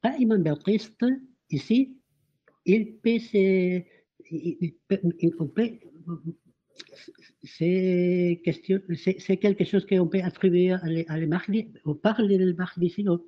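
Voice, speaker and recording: Halting man on a call. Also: sits high. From Spain. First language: not French. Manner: halting, repeats words while searching for French. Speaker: caller. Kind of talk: asking a question in an online call. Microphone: online call microphone. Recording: dull lo-fi call audio.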